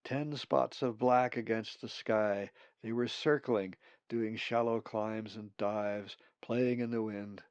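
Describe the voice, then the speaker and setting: A man's voice: on the low side, fairly even intonation, slow pace, few pauses, clear, resonant tone, dry recording. A man reading his own novel aloud as audiobook narration, on a slightly dull recording.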